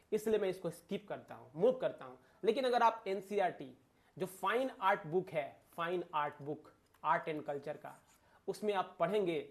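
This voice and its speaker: A man with an Indian accent giving an informal exam-prep lecture to viewers, emphatic, using rhetorical questions and repeated phrases. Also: sits high. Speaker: exam-prep teacher and channel host.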